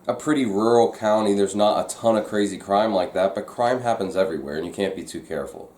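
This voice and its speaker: A man's voice: fairly low pitch, quick pace, a little mellow. A man hosting a vlog, telling a story to viewers in a casual, conversational way. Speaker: vlog host. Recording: echoey, roomy sound.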